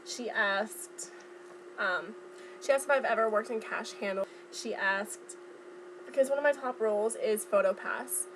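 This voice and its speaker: A young woman chatting casually to viewers in a vlog, speaking informally and haltingly as she recalls things. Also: a little high, noisy background. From Southern California. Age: young adult. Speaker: vlogger. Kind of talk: casual chat vlog, recounting from memory.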